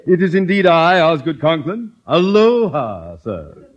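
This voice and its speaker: An actor playing a pompous, scheming middle-aged school principal in an old radio sitcom, wordy and theatrical. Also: male voice, fairly high pitch, big pitch swings, unhurried. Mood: pompous, ingratiating. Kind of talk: 1950s radio sitcom acting. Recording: muffled, noisy old-time radio recording.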